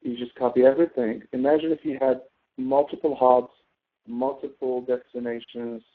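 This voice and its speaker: A man's voice: medium pitch, fairly even intonation, moderate pace. A man teaches through a thin, telephone-like connection. He explains a spreadsheet procedure step by step, as a tutor would, and often checks that the learner is keeping up. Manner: explanatory, often checks understanding with tag questions. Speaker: instructor, tutor. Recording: narrow tinny phone-like line.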